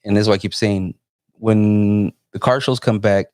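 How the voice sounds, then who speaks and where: A man in his forties from San Jose, California, hosting a live phone interview in a relaxed, meandering way. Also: on the low side, moderate pace, dry recording, studio quality. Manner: laid-back, meandering. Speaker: host.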